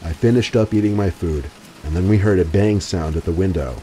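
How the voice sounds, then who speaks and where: A man narrating scripted true horror stories in a steady, even way. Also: low voice, brisk, background noise. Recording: faint music under the voice.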